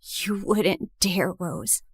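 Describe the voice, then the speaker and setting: A woman's voice: very high voice, sing-song, unhurried, bright, forceful tone, dry recording, studio quality, exaggerated voice. A woman voicing a bitter, spiteful teenage girl who sneers and accuses. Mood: cold threat. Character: bitter spiteful teenage girl, Jessica, former best friend.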